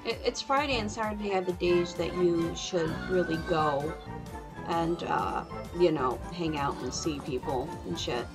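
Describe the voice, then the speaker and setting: A woman's voice: fairly low pitch, measured pace. A woman chatting casually and conversationally, with informal, sweary phrasing. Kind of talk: casual chat. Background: music.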